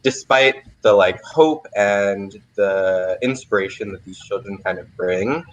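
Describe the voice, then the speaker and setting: A man's voice: lowish voice, unhurried, rough recording. A young man hosting a casual livestream Q&A as a playwright, thinking aloud with lots of "like" and "kind of". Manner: informal, thinking aloud, often saying "like" and "kind of". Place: remote video livestream.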